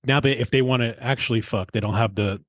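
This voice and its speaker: A man's voice: medium pitch, fast talker, no echo. A man hosting a podcast, chatting informally and agreeably with a guest. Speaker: podcast host interviewing a guest. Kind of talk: casual chat.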